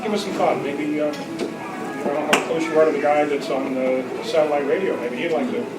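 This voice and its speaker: A man running an informal club meeting, making announcements in a hesitant, halting way. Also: fairly high pitch, steady tone, loud music behind the voice. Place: luncheon gathering in a room. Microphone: far from the speaker. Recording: distant echoey room, loud crowd chatter.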